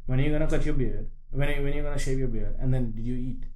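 A man's voice: medium pitch, rapid-fire, mellow. A young man with an Indian accent chatting casually, loose and full of fillers with casual swearing. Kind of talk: casual chat. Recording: echoey room.

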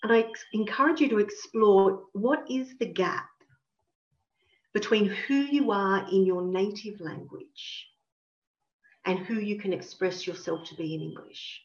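A woman host leads a session with slow, reflective questions and leans on certain words for emphasis. Her voice carries a roomy echo, and the call audio sounds muffled. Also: mid-range voice. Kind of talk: coaching talk. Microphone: video call. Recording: echoey, dull room sound.

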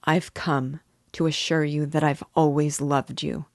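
A woman's voice: deep voice, little pitch movement, measured pace, dry recording, clean studio sound. A woman voicing a troubled young man who speaks solemnly and heavily to his mother. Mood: earnest. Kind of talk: audiobook character reading.

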